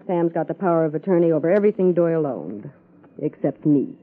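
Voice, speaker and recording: An American actress playing a jaded, sharp-tongued ex-showgirl wife in a 1950s radio crime drama, sardonic and world-weary. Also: low voice, animated, fast talker. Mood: bitter, sardonic. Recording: thin, phone-like vintage radio recording.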